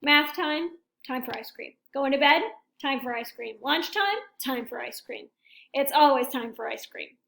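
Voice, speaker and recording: A woman teacher reading a picture book aloud to young children, expressive and asking questions to draw listeners in. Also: high-pitched, a little slow, gravelly.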